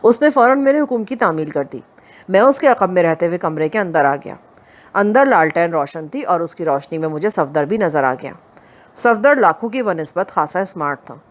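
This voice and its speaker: A woman narrating a first-person crime story for an audio novel, in a steady, measured reading voice. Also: on the low side. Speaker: audiobook reader.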